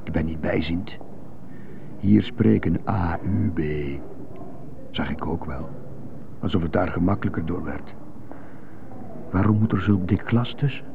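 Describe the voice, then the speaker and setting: An actor playing a bitter, tormented man who stutters, brooding in a halting radio drama monologue. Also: deep voice, noisy background. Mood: bitter, mocking. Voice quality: stuttering, husky. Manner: brooding, halting, resentful muttering. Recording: muffled, roomy, narrow-band old radio recording.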